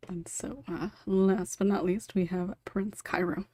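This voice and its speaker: A woman's voice: mid-range voice, steady tone, a little slow, warm tone. A woman hosting a casual panel discussion, moving between questions and guests as moderator. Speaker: podcast host and moderator.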